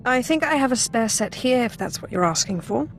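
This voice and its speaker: A woman playing a commanding Sith lord in a video game, poised and measured, teasing with dry flirtation. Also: a little high, expressive, slight background noise. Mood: amused, flirtatious. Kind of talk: video game character acting.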